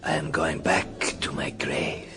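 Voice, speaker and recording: Deep, ominous stage illusionist. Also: male voice, fairly even intonation, sharp tone, faint music behind, exaggerated voice. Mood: solemn, ominous. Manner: grave, formal, deliberate wording. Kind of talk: radio drama acting.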